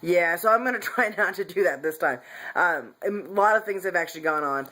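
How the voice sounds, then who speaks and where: A man's voice: sits high, lively intonation, brisk, pushed and bright. A man talking candidly in a casual personal vlog, rambling with frequent restarts and self-corrections. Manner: candid, confessional. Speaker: vlogger.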